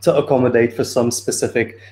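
A man's voice: medium pitch, fairly even intonation, fairly quick, darkish tone. A man in his twenties from Turkey, speaking informally and a little hesitantly as a student presenter on a video call. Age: 20s. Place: indoor room on a video call. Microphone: video call microphone.

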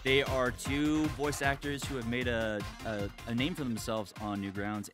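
A man hosting a casual podcast as a voice actor, chatty and excitable, with some swearing. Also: medium pitch.

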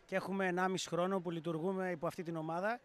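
Thirties male school director, outdoor street interview on a handheld mic, slightly high pitch, steady and explanatory. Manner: measured, explanatory, with hesitant fillers. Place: outdoor café terrace in a town. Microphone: handheld reporter mic.

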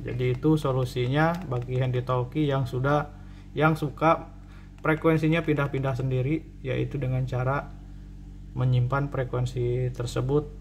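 A man with an average-pitched voice presents an informal hands-on tutorial. He explains like a technician at his workbench, often repeating and rewording himself. A light layer of humming noise sits behind his voice. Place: indoor cluttered repair workbench.

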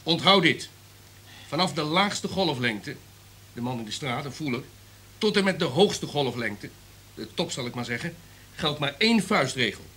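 An imperious executive in a radio drama, with a fairly high, forceful voice. He lectures irritably and stresses his points, over faint background noise. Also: expressive, moderate pace. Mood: angry, stern. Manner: domineering, lecturing, emphatic. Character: imperious, irritable top network executive, a man.

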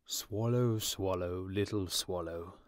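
A gentle storybook prince turned statue played by a man, speaking in a low, musical voice. He is mournful and softly pleading, recorded close and dry. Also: slow pace, no echo. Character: sorrowful gentle statue of a dead young prince.

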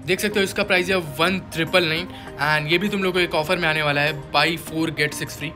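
Casting: young male vlogger, Indian accent, fairly high-pitched, casual bilingual shopping narration over clear background music. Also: fairly even intonation, normal speed. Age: young adult. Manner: mixes English words and phrases into his speech.

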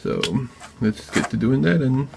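A man hosting a craft tutorial, talking informally and haltingly as he thinks aloud while working. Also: medium pitch, expressive, creaky, background noise. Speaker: DIY maker and video host. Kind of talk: casual hands-on tutorial narration. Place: indoor craft workbench.

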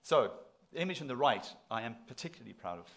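A middle-aged man speaks to an audience, at a medium pitch. He delivers his address conversationally, leaning on key words and repeating phrases for emphasis. He talks from a podium in a lecture hall. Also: big pitch swings, quick pace, a bit bright. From South Africa. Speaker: institution president giving inaugural address.

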